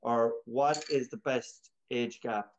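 A man interviewing casually, halting and rephrasing his questions as he goes. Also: medium pitch, fairly even intonation, a little hiss or hum. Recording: slightly dull, lo-fi sound.

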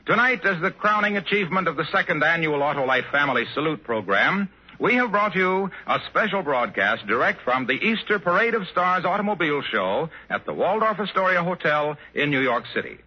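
A man's voice: a little high, slightly rough. An American man reading a formal, scripted sponsor message on 1950s radio. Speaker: sponsor's announcer. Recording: muffled 1950s radio broadcast.

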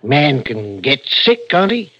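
An actor playing a troubled, defensive murder suspect in a 1960s radio drama, hesitant and haunted. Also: male voice, medium pitch, very animated, takes it slowly, fairly bright tone, dry recording, full character voice. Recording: dull vintage radio broadcast, music underneath.

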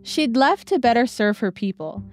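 Female documentary narrator, fairly high voice, measured scripted read over a music bed. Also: lively intonation. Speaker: narrator and reporter.

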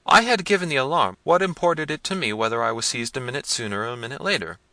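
Man playing a bold young peasant, high and earnest. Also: quick pace, pushed and bright, dry recording. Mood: defiant, frank. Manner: earnest, unafraid, declaiming. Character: bold, frank young peasant man, defiant but courteous. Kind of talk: dramatised gothic novel reading.

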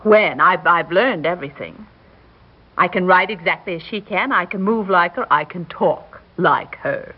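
A woman's voice: fairly low pitch, a little slow, husky. An actress in a 1960s radio drama playing an adoring, anxious female accomplice, with a breathless romantic manner. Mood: impatient. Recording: dull old radio recording.

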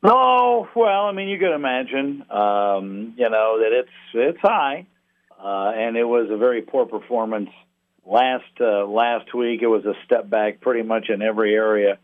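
Halting, wry man on a phone line. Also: mid-range voice, lively intonation. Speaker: sports play-by-play announcer, interview guest. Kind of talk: conversational interview. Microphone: telephone.

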